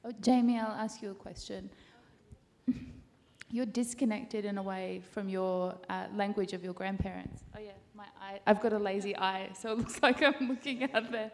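A woman's voice: medium pitch, fairly even intonation, moderate pace, darkish tone, slight background noise. A woman moderating a panel discussion, asking questions in a friendly, casual way.

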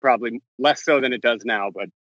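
A man's voice: mid-range voice, a bit bright, dry recording, muffled recording. A man answering interview questions as a novelist, often stopping and restarting his sentences as he paces around outdoors. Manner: halting, frequent restarts and self-corrections. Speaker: novelist guest. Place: walking around outdoors on a campus.

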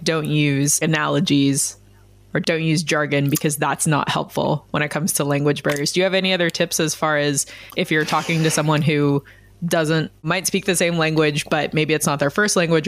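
A man's voice: on the high side, gravelly, fairly bright tone, a little hiss or hum. An American man hosting a podcast interview, asking friendly, curious questions. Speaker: podcast host.

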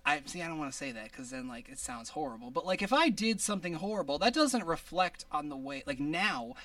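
A man with a high-pitched voice hosting a casual podcast, rambling with many restarts and laughs. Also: fairly bright tone, no echo, recorded from a distance. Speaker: podcast host.

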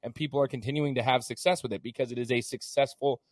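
Hoarse chatty male podcast host. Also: medium pitch, fairly even intonation, fast, no room sound, studio quality. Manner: chatty, many fillers and restarts. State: hoarse, recovering from illness. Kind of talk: casual podcast interview.

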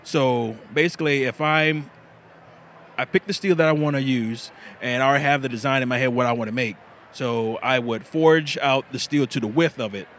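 A man's voice: mid-range voice, normal speed, fairly bright tone, a little hiss or hum. A knifemaker walking through his process step by step in an interview, with fillers and a few laughs. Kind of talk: interview answer.

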